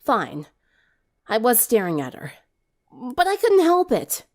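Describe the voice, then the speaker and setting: Woman as conflicted teen heroine for story audio, defensive, emphatic, lively intonation. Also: on the high side, slow, pitched above the performer's own voice, exaggerated voice. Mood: nervous, smitten. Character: conflicted, defensive, lovestruck teenage girl.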